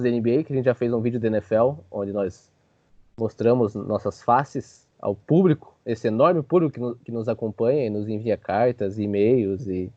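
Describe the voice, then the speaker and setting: A man's voice: mid-range voice, dark tone, no room sound, lo-fi sound. A man hosting a sports show with casual, joking basketball commentary full of informal filler words.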